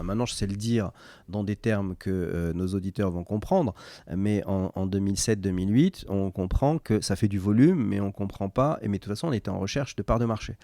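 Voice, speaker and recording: A man from Morocco explains his company to an interviewer, stopping and restarting often and filling pauses with hesitations. His voice sits low and sounds dark and mellow. The recording is dry and close, with no room echo. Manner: hesitant, many fillers and restarts. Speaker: company founder, guest. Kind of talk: conversational interview answers.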